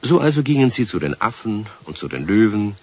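A man narrating a children's radio play as a leisurely storyteller, in a deep, husky voice. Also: very animated, fairly quick, a little mellow, full character voice. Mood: storytelling. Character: calm, leisurely male storyteller. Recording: muffled old 1970s radio recording.

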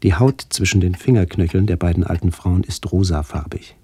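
Deep, mellow, solemn narrator. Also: male voice, brisk, husky. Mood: somber, contemplative. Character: somber male first-person narrator remembering his aged aunts. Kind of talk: literary radio play narration.

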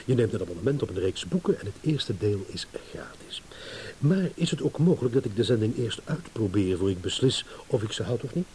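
An actor playing a self-assured, impatient philandering husband in a radio play, sardonic, blunt and mocking. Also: male voice, fairly low pitch, expressive, brisk, mellow, lightly acted. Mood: sarcastic, irritated. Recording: hissy old radio play recording.

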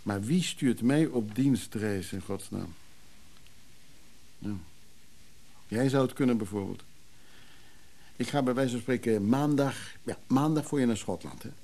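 An actor playing a glib middle-aged brother in a radio play, breezily brushing off whatever is put to him. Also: male voice, mid-range voice, measured pace, pauses often, mellow. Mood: breezy, defensive. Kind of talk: naturalistic Dutch radio play acting.

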